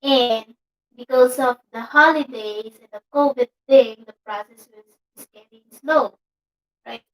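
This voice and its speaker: A young woman with a Filipino accent and a high voice chats on a couple's vlog. She is playful and giggly. She drops into Tagalog now and then. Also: unhurried, poor audio. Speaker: vlogger. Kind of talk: casual chat.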